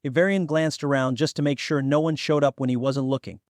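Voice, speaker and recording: A man's voice: fairly high pitch, steady tone, a little fast, a little mellow, no room sound, studio quality. A man reading scripted fantasy fiction as an audiobook narrator.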